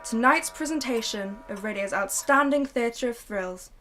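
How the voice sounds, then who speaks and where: A woman's voice: fairly high pitch, a bit bright, soft background music. A young woman narrating and announcing in a recreated 1940s radio drama. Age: young adult. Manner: measured, storytelling.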